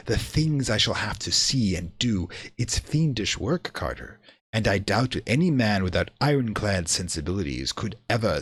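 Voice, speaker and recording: A man playing a calm, condescending occultist with a mellow, measured delivery in a radio play. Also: lowish voice, breathy edge, no echo. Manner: coolly measured, unperturbed.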